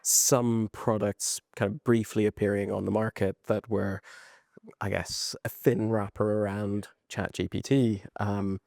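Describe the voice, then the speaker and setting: A man's voice: fairly low pitch, little pitch movement, a little slow, vocal fry, a little mellow, dry recording, clean studio sound. A man hosting a conversational interview, commenting and putting questions to his guest. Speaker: interview host.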